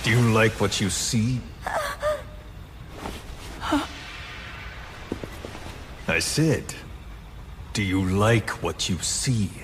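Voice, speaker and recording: An actor playing a cruel, sadistic interrogator who taunts captives with slow, deliberate menace in a game drama. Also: male voice, fairly low pitch, noisy background. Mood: sadistic taunting. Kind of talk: video game voice acting, dramatic scene.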